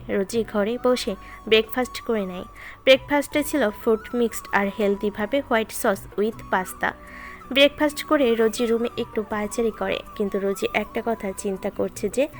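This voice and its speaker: A woman narrates a romantic story from a script. Her voice sits fairly high. Light music plays underneath. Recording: music behind the voice.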